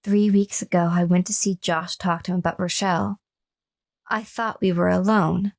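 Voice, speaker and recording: A woman's voice: fairly low pitch, steady tone, moderate pace, creaky, a little mellow. A wounded captive woman narrating horror. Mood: somber, reflective. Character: captive, wounded woman recounting her obsessive lover's abuse. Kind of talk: first-person horror radio drama narration with acted dialogue.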